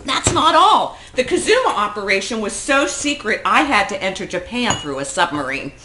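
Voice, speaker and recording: A woman's voice: mid-range voice, very animated, moderate pace, sharp tone. A woman playing a giggly, adoring wife in a stage comedy, playful and exclaiming. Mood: proud, amused. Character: giggly, adoring wife claiming to be a secret agent. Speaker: stage actor. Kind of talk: live one-act comedy play dialogue. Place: indoor theatre stage, workshop performance. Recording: echoey theatre with audience noise.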